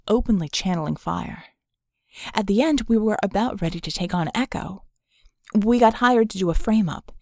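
A woman voicing a cynical, haunted male metahuman ex-thief in an audiobook, telling his past in a wry, measured way. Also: on the low side, gravelly, a little mellow, no echo, clean studio sound.

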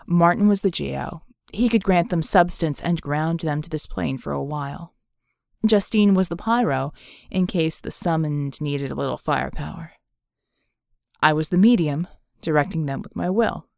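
A woman voicing a cynical, haunted male metahuman ex-thief in an audiobook, telling his past in a wry, measured way. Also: low-pitched, gravelly, a little mellow, no room sound, clean studio sound. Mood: wry, reminiscing.

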